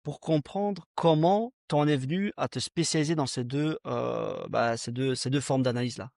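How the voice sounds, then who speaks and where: A man's voice: medium pitch, moderate pace, vocal fry, a little mellow, no room sound, studio quality. A man hosting a podcast as a casual chat, affirming his guest and often repeating short phrases. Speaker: podcast host.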